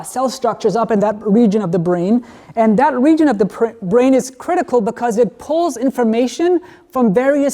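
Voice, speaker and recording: A man from Denmark gives a conversational university lecture with a mellow, relaxed tone. He often asks the audience questions. His voice rings with the long reverb of a lecture hall. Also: very high voice. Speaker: guest lecturer.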